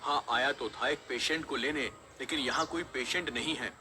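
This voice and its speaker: पुरुष की आवाज़ में बहुत तीखा, घबराया एम्बुलेंस ड्राइवर. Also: male voice, medium pitch, normal speed, bright, forceful tone, slight background noise. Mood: nervous. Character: anxious young male ambulance driver in horror tale. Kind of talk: animated horror story voice acting.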